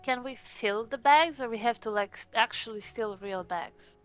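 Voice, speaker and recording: A woman's voice: a little high, moderate pace, fairly bright tone, soft background music. A woman doing casual in-character role-play at a tabletop game, tentative and polite.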